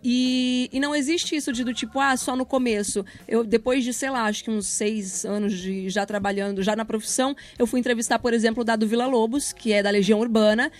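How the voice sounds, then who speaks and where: A woman's voice: a little high, steady tone, fairly quick, few pauses. A woman in her thirties with a Brazilian accent, talking casually as an interview guest and explaining things in a chatty way with examples. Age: 30s. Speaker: radio professional, interview guest.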